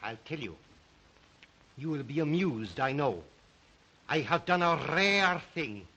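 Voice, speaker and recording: A Russian-accented count obsessed with the hunt. The voice is high for a man and cuts brightly. He speaks with courtly, brooding deliberation in the style of old film melodrama. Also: animated, takes it slowly, dull audio, heavily acted. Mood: proud, boastful. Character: aristocratic Russian count, suave, obsessive big game hunter. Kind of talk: 1930s film-era melodramatic acting.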